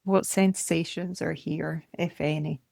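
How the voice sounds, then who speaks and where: Female meditation guide: lower register, gravelly edge, calm and unhurried phrasing, gentle instruction. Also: no echo, clean studio sound. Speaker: meditation teacher. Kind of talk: guided body scan meditation.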